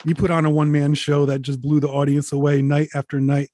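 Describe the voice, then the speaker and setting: A man in his forties, a touring musician, telling candid road stories in a relaxed, rambling way, swearing freely. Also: a little high, quick pace, no room sound. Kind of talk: casual interview, candid storytelling.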